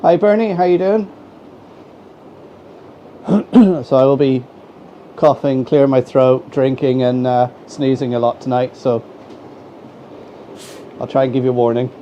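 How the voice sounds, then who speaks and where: A man's voice: medium pitch, unhurried, long pauses, mellow. An Irish man with a head cold, sounding stuffy, chatting casually as he hosts a live stream and greets viewers by name. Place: home model railway room. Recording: dull, slightly lo-fi home mic with faint noise.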